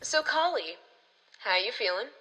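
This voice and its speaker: A woman's voice: a little high, animated, unhurried, in a large hall, heavy background noise. A woman playing a playful, breezy, teasing biker in a comedic audio drama.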